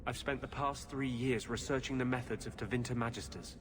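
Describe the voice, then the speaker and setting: Male actor as earnest driven mage, video game, intent, guarded, medium pitch, background noise. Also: steady tone, a little fast, natural voice.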